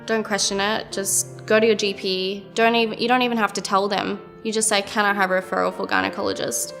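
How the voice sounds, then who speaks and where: Young woman, flat gravelly voice, direct. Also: medium pitch, brisk, soft background music. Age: 20s. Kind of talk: personal testimony interview.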